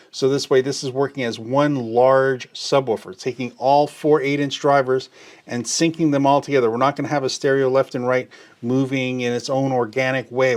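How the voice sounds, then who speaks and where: Brief: middle-aged male gear demonstrator, mid-pitched, patient step-by-step explainer. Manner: explanatory, uses everyday analogies. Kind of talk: hands-on product tutorial.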